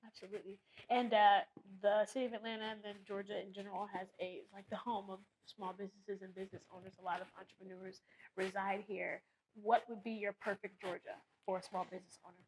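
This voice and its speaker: A woman's voice: mid-range voice, little pitch movement, fast, darkish tone, a little hiss or hum, low-quality recording. A woman hosting an interview, asking questions and giving brief affirmations. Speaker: interview host.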